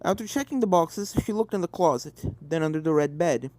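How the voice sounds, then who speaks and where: Lively woman reading a story aloud. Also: deep voice, a little fast. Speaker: narrator. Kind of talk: expressive scripted story narration. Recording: music behind the voice.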